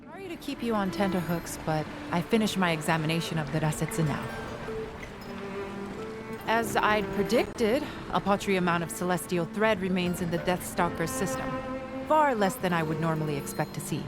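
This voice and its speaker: A woman's voice: on the low side, expressive, moderate pace, over music, lightly acted. An actress playing a composed, scholarly woman researcher in a video game, speaking in a formal, analytical way. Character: composed, scholarly female sensei who studies monsters. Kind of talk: video game cutscene voice acting.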